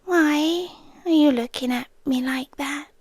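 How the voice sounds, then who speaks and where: A woman's voice: high voice, dry recording. Woman playing a possessive British guardian angel. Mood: wounded, possessive. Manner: soft, intimate half-whispering; calm, coaxing and slow. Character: possessive female guardian angel, obsessive, smothering. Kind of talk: ASMR roleplay.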